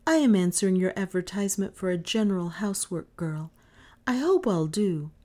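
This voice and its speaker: A calm, earnest young girl from the mountains in a storybook, speaking plainly and hopefully in a low, mellow voice, as a woman reader puts her on. Her manner is composed and modest. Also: normal speed, natural voice.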